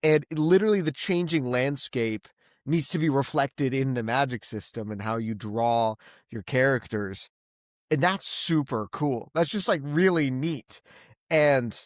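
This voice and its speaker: A man's voice: mid-range voice, moderate pace, no room sound. Regular guy voice, podcast book chat, kinda figuring it out as he talks, dry recording. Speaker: podcast host reviewing books. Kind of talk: casual discussion.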